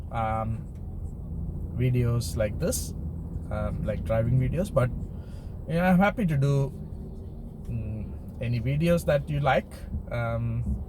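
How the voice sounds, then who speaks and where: A man vlogging from behind the wheel, chatting casually and unscripted to viewers in a halting, hesitant way. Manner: halting and hesitant, trailing into pauses. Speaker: driving vlogger. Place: inside a car while driving. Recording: noisy car interior with road rumble. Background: steady car and traffic noise.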